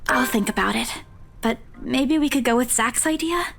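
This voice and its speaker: A woman voicing an anxious young woman in a visual novel, with a slightly husky voice. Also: high-pitched, normal speed, a bit bright, full character voice. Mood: hesitant, grateful. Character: anxious young woman unsettled by a haunted house.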